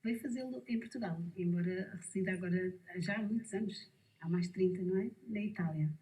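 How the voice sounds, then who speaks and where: A woman TV host who asks her questions quickly and briskly and jumps in to finish her guest's sentences. Her voice is mellow and sits a little away from the microphone. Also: mid-range voice, far from the mic, rough recording. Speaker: TV host, interviewer. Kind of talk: TV interview.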